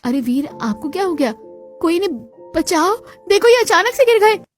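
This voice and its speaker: A woman's voice: very high-pitched, sing-song, moderate pace, soft background music. A woman voicing a naive, wide-eyed young village bride in a Hindi moral-story cartoon, full of questions and exclamations. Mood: alarmed.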